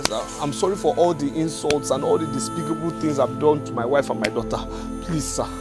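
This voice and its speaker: A man's voice: fairly high pitch, fairly quick, over loud music, natural voice. A young man playing a remorseful husband and father who pleads over and over for his family's forgiveness. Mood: pleading. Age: young adult. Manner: pleading, repeating himself. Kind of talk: film drama acting.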